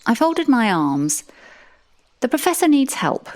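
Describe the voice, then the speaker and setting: A woman's voice: high-pitched, sing-song, a little slow, darkish tone. A woman narrating a comic audio drama in the first person, with a dry, sardonic manner.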